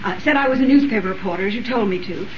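A woman's voice: medium pitch, racing, a crowd in the background, natural voice. A woman playing an anxious, devoted female sidekick in a 1930s radio drama, earnest, breathless and hesitant. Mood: earnest recounting. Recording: noisy, muffled, distant 1930s radio recording.

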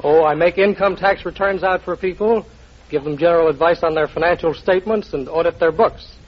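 A man's voice: fairly high pitch, fairly quick. A man answering quiz show questions in a precise, matter-of-fact way. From New York. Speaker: contestant, accountant. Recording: dull old radio recording, faint noise, audience. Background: studio audience.

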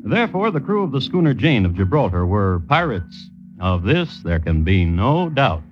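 A man narrating a scripted old-time radio story in a wry, declamatory way with deliberate rhetorical phrasing. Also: lowish voice, very animated. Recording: old radio broadcast with music behind, dull. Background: music.

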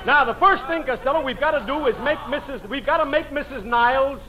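Muffled old radio broadcast: fussy, pompous male boss, very high, scolding, flustered. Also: quick pace, background noise. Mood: exasperated. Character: blustering, pompous, exasperated restaurant boss. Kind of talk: 1940s radio comedy acting.

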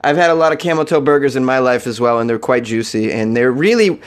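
A young man running a comedy podcast in a loose, joking way. His voice sits at an ordinary pitch, and he breaks into laughs often. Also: fast talker. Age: young adult. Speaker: podcast host. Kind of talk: casual comedic monologue.

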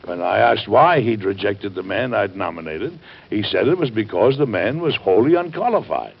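An earnest American president, old radio sound. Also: male voice, on the low side, animated, moderate pace, creaky, slightly rough, full character voice. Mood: wryly amused. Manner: theatrical, emphatic delivery. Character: U.S. president, warm, earnest, wryly amused statesman. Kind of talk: 1940s radio acting. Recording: dull vintage radio recording.

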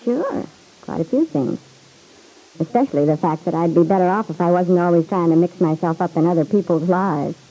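A woman's voice: on the low side, fairly quick, warm tone, natural voice, rough recording. A woman playing a chatty, plain-spoken young roommate in an old-time radio serial, with breezy, slangy, folksy talk. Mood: breezy, frank.

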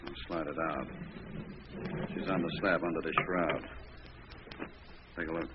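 An actor playing a brusque, matter-of-fact police commissioner in a 1940s radio drama. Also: male voice, very deep voice, steady tone, a little slow. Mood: brisk, blunt. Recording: dull, noisy old radio recording.

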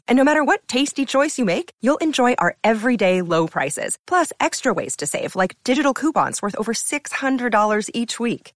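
A woman's voice: mid-range voice, no room sound, clean studio sound. A woman with an American accent reading a scripted supermarket advertisement as an announcer.

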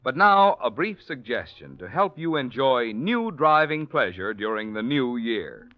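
A man's voice: medium pitch, very animated, measured pace. An American man announcing a sponsor message in 1940s radio style. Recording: dull vintage radio recording.

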